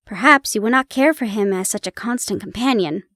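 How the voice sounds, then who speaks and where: A woman's voice: medium pitch, a little fast, a bit bright, dry recording, clean studio sound, pitched below the performer's own voice, lightly acted. A woman voicing a chatty young man. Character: young male hospital dresser, friendly and chatty.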